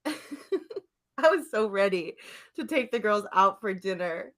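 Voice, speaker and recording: Young woman host, mid-pitched voice, chatty storyteller who laughs a lot. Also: animated, slow, a bit bright. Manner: laughs often, chatty, telling anecdotes. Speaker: podcast host. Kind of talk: casual chat, storytelling.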